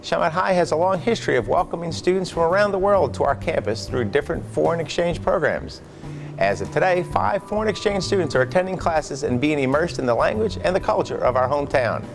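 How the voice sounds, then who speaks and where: A man narrates a local school news segment in an upbeat, scripted way. His voice is somewhat high for a man and has a gravelly edge, with faint music playing underneath. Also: expressive, brisk. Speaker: program host.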